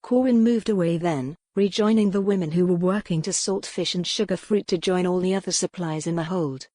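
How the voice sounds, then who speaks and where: A woman's voice: on the low side, fairly quick, warm tone, dry recording. A woman reading scripted historical romance narration as an audiobook narrator, recorded close in a dry space.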